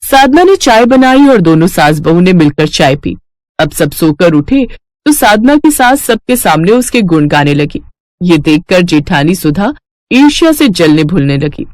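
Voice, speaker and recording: A woman's voice: on the low side, sing-song, a little slow, darkish tone, dry recording, studio quality. A woman narrating a scripted moral bedtime story.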